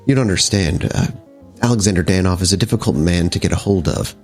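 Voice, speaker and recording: A man voicing a courteous, guarded female vampire club owner in a tabletop game, with measured, formal phrasing. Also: low voice, a little mellow, slightly rough, soft background music.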